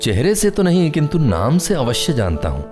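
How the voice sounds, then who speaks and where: An actor playing a courteous, wry recluse in a Hindi radio play, speaking in a measured, formal, gently ironic way. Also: male voice, fairly high pitch, huge pitch swings, music in the background. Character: courteous, wry, reclusive man living in a forest hut.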